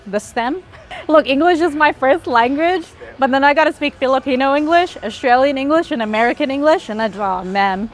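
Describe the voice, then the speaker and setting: A woman's voice: sits high, lively intonation, moderate pace. Breathless woman coaching mid-workout. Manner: talks through exercise with effortful sighs and exhales. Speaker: fitness vlogger. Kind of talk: casual workout instruction. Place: gym.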